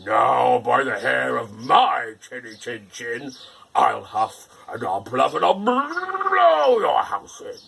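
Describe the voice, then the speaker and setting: A man voicing a big bad wolf in a storybook reading, with a gravelly, slow, growling delivery. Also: fairly high pitch, big pitch swings, bright, forceful tone, full character voice. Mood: menacing. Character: big bad male wolf, gruff and menacing.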